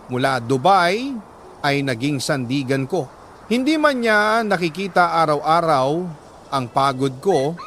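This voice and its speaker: A Filipino man reading a sentimental letter as a radio storyteller, in earnest, emotive scripted narration with deliberate phrasing and dramatic pauses. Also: a little high, fairly bright tone, a little hiss or hum.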